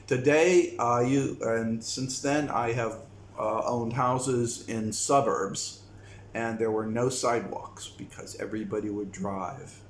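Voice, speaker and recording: A man from the United States talking slowly and reflectively to his viewers in a casual vlog. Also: mid-range voice, steady tone. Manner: slow, reflective, with frequent pauses. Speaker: vlogger. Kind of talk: casual talk to camera. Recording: echoey room with faint noise.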